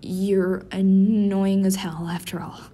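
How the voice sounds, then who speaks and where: A man's voice: level tone, slow pace, mellow, slightly rough, exaggerated voice. A man playing a prickly, flustered office worker who hides a crush, stammering and grumbling defensively in a tsundere roleplay addressed to the listener. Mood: flustered, denying. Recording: faint rumbling office and city background noise.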